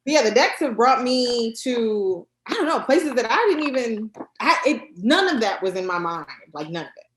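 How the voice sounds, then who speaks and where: A woman guest in a casual interview, talking with lively energy, many fillers and quick restarts. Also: a little high, roomy sound, slight background noise, poor audio. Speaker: guest, card deck creator.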